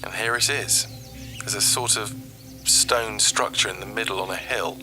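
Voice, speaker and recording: Man acting curious professor, low-pitched, musing field notes, hesitant pauses, birdsong and music behind. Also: natural voice. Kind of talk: spoken field notes in audio drama.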